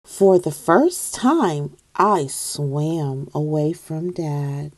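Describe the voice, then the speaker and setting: A woman reading a children's picture book aloud in a deliberate, expressive storytelling manner. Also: low-pitched, dry recording.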